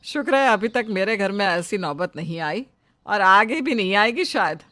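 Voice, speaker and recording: A woman's voice: mid-range voice, lively intonation, moderate pace, a bit bright, dry recording, natural voice. A woman voicing an irritable, jealous middle-aged Indian mother-in-law in a cartoon moral story, with a scolding, emphatic manner. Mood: chatty.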